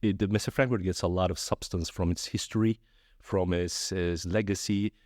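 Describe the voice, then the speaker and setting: A middle-aged man from Turkey, a guest in a podcast interview, telling stories in a friendly, animated way with frequent restarts. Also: deep voice, normal speed, no room sound, clean studio sound. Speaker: guest, trade fair executive. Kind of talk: conversational interview.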